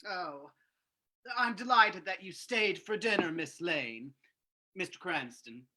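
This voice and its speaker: A man acts out a solicitous, genteel host from an old-time radio drama. His high voice moves up and down freely. He speaks in a courtly, slightly halting way with a piercing edge. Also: animated, pushed and bright, heavily acted. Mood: genial, courtly.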